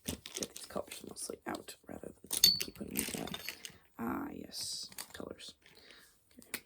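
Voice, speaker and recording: A woman doing soft, rambling ASMR, musing hesitantly as she thinks aloud, her voice fading toward whispers. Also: moderate pace, heavy background noise. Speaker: ASMR video creator.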